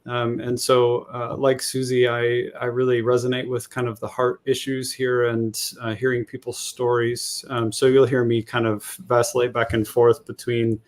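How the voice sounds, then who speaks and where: Flat-toned male researcher, measured. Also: mid-range voice. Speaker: panel guest and researcher. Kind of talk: panel discussion.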